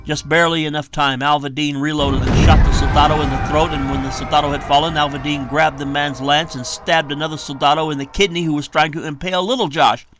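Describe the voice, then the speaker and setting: A man's voice: fairly high pitch, fairly even intonation, fast talker, few pauses. Man narrating dramatically over strong guitar music. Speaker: author and narrator reading own novel. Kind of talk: dramatic audiobook narration of a historical western. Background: plucked guitar music.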